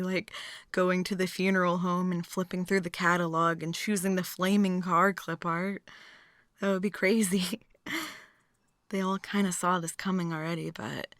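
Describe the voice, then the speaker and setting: A woman's voice: fairly low pitch, no echo, clean studio sound, natural voice. A woman acting a chatty, philosophically morbid date in an intimate ASMR roleplay, musing and teasing as she rambles to the listener. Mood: dry, bemused.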